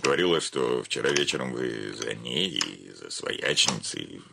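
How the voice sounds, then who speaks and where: A man's voice: very deep voice, lively intonation, creaky, background noise, heavily acted. An actor playing a pompous, effusive, self-satisfied bank chairman in a radio play, with florid, theatrical delivery. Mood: weary, chiding. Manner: gushing, florid, self-important, theatrical drawn-out words.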